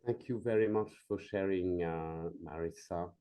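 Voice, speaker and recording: A man leading a live coaching demonstration as an executive coach, speaking gently and invitingly, with many fillers and "right?" check-ins. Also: deep voice, a little slow.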